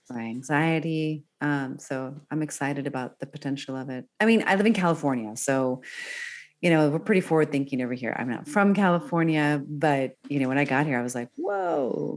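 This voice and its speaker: A middle-aged woman from Northern Virginia, chatting enthusiastically as a podcast guest and laughing easily. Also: low voice. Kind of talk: casual conversation.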